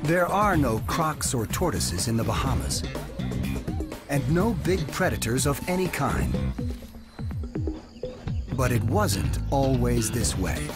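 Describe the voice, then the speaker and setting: Lively male documentary narrator. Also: mid-range voice. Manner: measured, lively storytelling delivery. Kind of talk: scripted narration. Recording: narration over loud music score.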